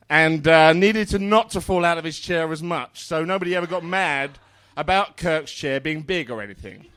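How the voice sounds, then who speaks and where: A man's voice: high-pitched, fairly bright tone. A man giving a comedic reading of fan fiction, telling it in a rambling, naive way with conversational asides. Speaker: comedic reader on a stage show.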